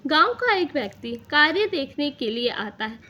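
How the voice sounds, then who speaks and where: A woman's voice: high voice, sing-song, moderate pace, sharp tone. A woman narrating a scripted cartoon story as its storyteller.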